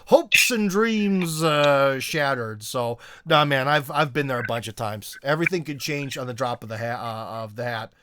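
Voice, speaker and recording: A man's voice: medium pitch, moderate pace, few pauses, noisy background. A man hosting an interview in a casual, chummy way, with plenty of fillers and asides. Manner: laid-back, chummy, with filler-heavy asides. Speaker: show host.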